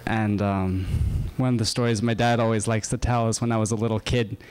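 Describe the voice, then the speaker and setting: A man's voice: on the low side, flat delivery, a little mellow, clean tone. Young man telling a rambling story. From Japan. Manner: halting, full of uh and um, chatty and wandering. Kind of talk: casual personal storytelling to an audience.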